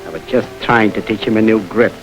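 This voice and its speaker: An actor playing a surly, hot-tempered gangster who talks in a blunt, sneering, resentful way, in 1930s crime film style. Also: male voice, lowish voice, fairly quick, faint music behind, close to an everyday voice, low-quality recording.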